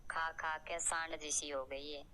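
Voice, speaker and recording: A man's voice: on the high side, level tone, unhurried, noisy background, close to an everyday voice. A man voicing a sarcastic yet cowed husband bird in a cartoon. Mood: sarcastic nagging. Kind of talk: Hindi cartoon character acting.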